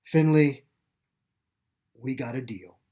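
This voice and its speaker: A man reading a story plays an earnest, grieving boy who loves his dog, speaking haltingly and with emotion. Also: medium pitch, warm tone, lightly acted. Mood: resolved, hopeful. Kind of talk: storybook character dialogue.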